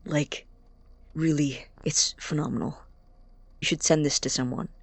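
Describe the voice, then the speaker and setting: An actor playing an awkward, anxious young male college student in an audio drama, halting and rambling, with a creaky voice. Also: mid-range voice, steady tone, no room sound. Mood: nervous, apologetic. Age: young adult. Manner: halting, stammering, rambling, nervous laughs. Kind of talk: audio drama acting as a personal voice recording.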